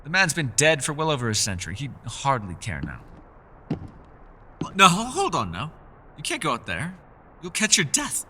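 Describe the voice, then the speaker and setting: A man voicing a centuries-old elf in a fantasy roleplay, gentle, socially awkward and chatty, with formal, old-fashioned, apologetic phrasing. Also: fairly high pitch, huge pitch swings, moderate pace, long pauses, a little hiss or hum, full character voice. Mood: wry amusement, then alarmed. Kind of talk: fantasy ASMR roleplay voice acting.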